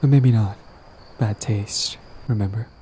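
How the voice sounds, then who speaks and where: Man acting as a mellow, lovestruck, coaxing villain. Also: lowish voice, a little slow, a little hiss or hum, natural voice. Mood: hopeful, flirtatious.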